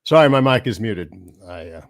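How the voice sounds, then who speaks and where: A man's voice: lowish voice, expressive, moderate pace. A man hosting a podcast, giving earnest commentary in a throaty voice from a cold, with fillers and restarts. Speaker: host.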